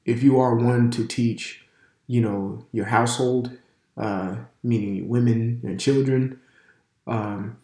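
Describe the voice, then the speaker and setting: A man teaches a casual video lesson with a cold-stuffed nose. He rambles loosely, full of fillers and restarts, at an ordinary middle pitch. His voice rings around a roomy, echoing space. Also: warm tone. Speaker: religious language teacher. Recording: echoey room.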